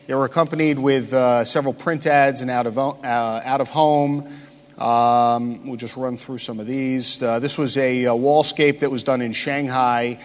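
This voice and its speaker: A man giving a conference presentation, pausing often with many "uh" fillers. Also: medium pitch. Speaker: conference speaker. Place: large conference hall. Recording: reverberant hall.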